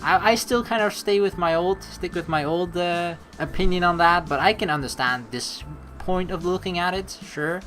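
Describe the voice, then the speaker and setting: A woman playing through a game, reading its text aloud and reacting in a chatty, expressive, giggly way. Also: on the low side, normal speed, soft background music. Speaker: let's play streamer.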